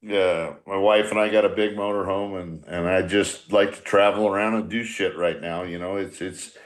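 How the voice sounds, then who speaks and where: A man's voice: sits low, steady tone, moderate pace, poor audio. An American man in his sixties with a blunt, salty, rambling manner, chatting casually as a veteran baseball manager. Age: 60s. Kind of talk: casual profane group chat.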